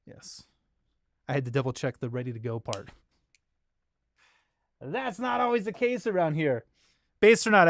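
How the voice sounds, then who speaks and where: A man with an American accent chats off the cuff as a livestream host. His voice sits a little high and is very clean and smooth, recorded close and dry. He rambles informally and jokes along with his viewers. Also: dry recording. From Los Angeles, United States. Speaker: bass player and livestream host. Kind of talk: casual livestream chat.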